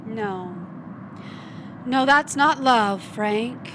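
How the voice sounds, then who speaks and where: An actress playing an anxious, intense woman in love, earnest and halting, in an old-fashioned melodramatic stage style. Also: on the high side. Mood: anxious, searching. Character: Cora, anxious, intense woman lover, wary and weary. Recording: noisy live online stage recording.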